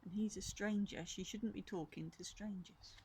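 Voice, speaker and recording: Older female storyteller for children's picture books, medium pitch, gentle explanatory asides, slightly noisy recording. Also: steady tone, moderate pace. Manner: gentle asides to young listeners. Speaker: storyteller grandmother. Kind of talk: children's storybook read-aloud. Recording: faint background noise.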